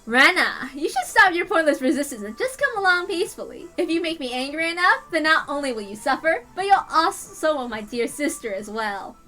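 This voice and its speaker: A woman's voice: high-pitched, sing-song, fairly quick, few pauses, pushed and bright, full character voice. A woman voicing a scheming, gloating young twin sister from an anime visual novel, taunting with theatrical mock politeness. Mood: menacing. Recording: music behind the voice.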